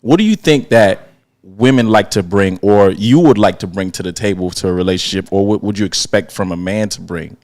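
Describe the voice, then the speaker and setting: A man's voice: fairly low pitch, fairly quick, a little mellow. A man in his late thirties hosting a casual podcast, laid-back and rambling. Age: 30s. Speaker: podcast host.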